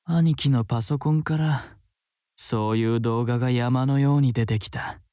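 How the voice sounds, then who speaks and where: A man's voice: mid-range voice, fairly even intonation, fast, no room sound, studio quality, close to an everyday voice. Man playing an intense, obsessive young man. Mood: dark, confessional. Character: troubled young man, obsessive, craving violence. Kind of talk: Japanese visual novel acting. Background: soft game music.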